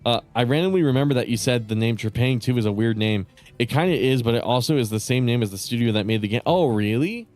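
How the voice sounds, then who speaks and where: A man chatting casually with viewers on a live stream, rambling and swearing with lots of fillers, his voice starting to go raspy. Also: medium pitch, animated, fast, darkish tone. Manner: rambling, profane, many fillers and restarts. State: voice getting raspy.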